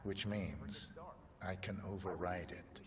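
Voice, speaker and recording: A man's voice: deep voice, slow, a crowd in the background. An actor playing a scheming, discreet male engineer offering a secret deal in a spy video game. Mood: conspiratorial. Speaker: chief technical designer character. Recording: loud music over the voice.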